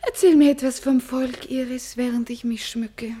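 An actress in a German radio play voicing a vain, pampered dancer with an affected, commanding, gushing manner. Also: female voice, high-pitched, fairly even intonation, moderate pace, mellow, breathy edge.

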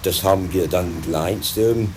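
A man playing a pompous, halting, officious harbourmaster in an improvised comedy radio play. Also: low-pitched. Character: pompous, officious male harbourmaster, self-styled big boss. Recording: slightly noisy amateur 1980s recording.

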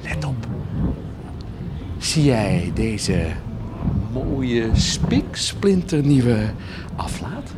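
A cynical indulgence-selling monk in a radio drama, sneering and theatrical. The pitch leaps wildly while the pace stays slow and savoring, over a clearly noisy recording. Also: male voice, very animated. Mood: amused, taunting. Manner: hawking, sneering, exaggerated showmanship. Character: cynical, mocking monk peddling indulgences like a showman.

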